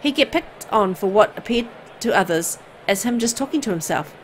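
A woman narrates a visual novel in an expressive storytelling way. Her voice sits at a medium pitch, with faint ambient noise behind it. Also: moderate pace. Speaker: video game player and narrator. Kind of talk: scripted narration, reading visual novel text aloud.

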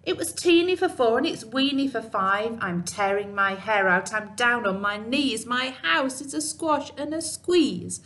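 A woman's voice: a little high, animated, unhurried, few pauses, a bit bright, pitched above the performer's own voice. A woman voicing a grumbling, flustered little old lady from a storybook, exclaiming and pleading. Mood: flustered, incredulous.

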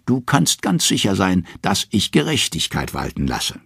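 Man as gravelly, haughty queen of the dead. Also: deep voice, very animated, normal speed, a bit bright, no room sound, studio quality, exaggerated voice. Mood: malicious, commanding. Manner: imperious, gloating, menacing. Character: haughty, malicious queen of the underworld.

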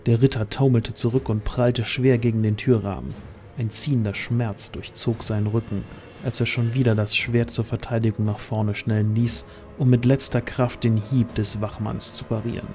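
Male storyteller, soft dark tone, mid register, dramatic scripted fantasy narration. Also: medium pitch.

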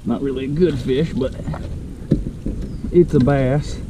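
A man with a mid-pitched voice talks to himself and his viewers in a low-key, unscripted way. He speaks in short phrases as a kayak fishing vlogger. The recording is made outdoors on the water, with clearly audible noise behind him. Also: steady tone, slow. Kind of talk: casual fishing vlog commentary.

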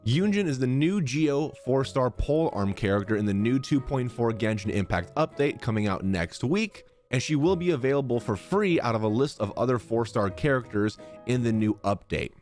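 A man's voice: mid-range voice, expressive, moderate pace, hardly any pauses, a little mellow. A man giving casual streamer commentary into a close microphone. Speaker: streamer, content creator. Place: small home room, indoors. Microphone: close boom-arm studio microphone. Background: faint music.

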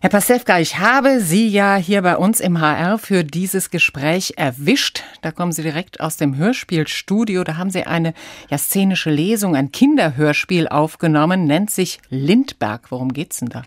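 Low-voiced woman hosting a radio interview. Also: normal speed, dry recording, studio quality. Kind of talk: radio interview, composed questions and introductions. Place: radio studio.

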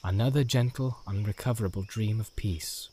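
A man's voice: on the low side, moderate pace, dark tone, slight background noise. A man reading scripted literary narration as an audiobook narrator.

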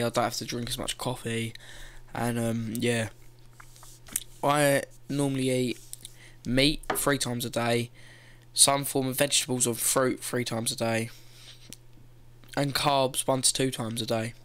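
A man's voice: medium pitch, level tone, a little slow, pauses often, a bit bright, a little hiss or hum. A teenage boy giving casual gym advice from his bedroom, loose and rambling, swearing now and then, talking into a gaming headset. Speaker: fitness vlogger. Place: bedroom, indoors.

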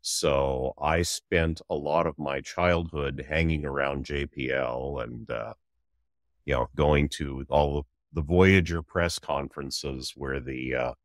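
A man's voice: extremely low pitch, steady tone, slow pace, no echo, clean studio sound. A middle-aged man, a guest author telling his story casually in an interview, with a wry, joking manner.

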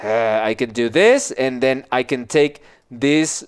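A man in his forties giving an informal software tutorial, explaining casually step by step into a headset microphone in a small office. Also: medium pitch, slow, smooth. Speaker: animation tutorial instructor.

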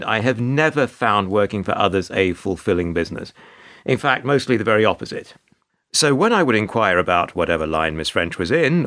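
A man's voice: fairly low pitch, a bit bright. A British man playing a weary middle-aged narrator in a radio play, dry, hesitant and sardonic. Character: wry, weary older male narrator, reluctant bystander.

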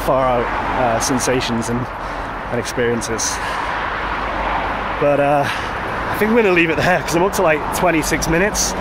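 Brief: man, fairly high voice, chatty walking vlog on a phone, wind and traffic clearly audible behind him. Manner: rambling, relaxed, many fillers and restarts. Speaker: vlogger. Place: outdoors, walking by a road with traffic. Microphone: handheld phone. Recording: outdoor wind and traffic noise.

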